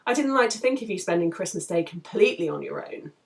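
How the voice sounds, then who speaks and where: A woman's voice: lowish voice, expressive, quick pace, gravelly. A woman reading aloud as a cheerful, tipsy, sociable woman friend in a novel, chatty and exclamatory. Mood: cheery, welcoming.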